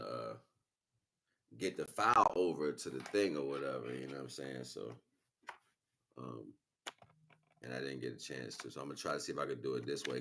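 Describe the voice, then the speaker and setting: A man rambling casually on a livestream in slangy, muttered talk with a gravelly voice. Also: extremely low pitch, sharp tone, slight background noise. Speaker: live streamer. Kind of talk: casual unscripted livestream chat.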